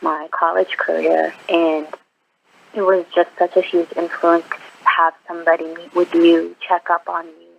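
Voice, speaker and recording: A woman in her twenties, from Iraq, speaks earnestly with frequent pauses and ums. Her voice is gravelly and stays flat in pitch. The sound is dull and lo-fi, like a phone line. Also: a little hiss or hum. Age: 20s. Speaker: interview guest, nurse. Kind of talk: phone interview.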